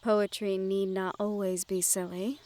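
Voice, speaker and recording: A woman playing a gentle, well-bred young noblewoman in a fantasy audio roleplay, speaking in polite, measured phrases directly to the listener. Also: medium pitch, little pitch movement, lightly acted. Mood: serene, thoughtful.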